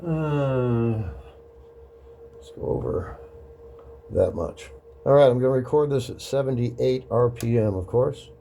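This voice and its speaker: A man casually narrating a hands-on workshop demonstration, murmuring to himself in an unhurried way as he works. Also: medium pitch, lively intonation, creaky, mellow, slight background noise. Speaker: record-cutting hobbyist demonstrating a lathe.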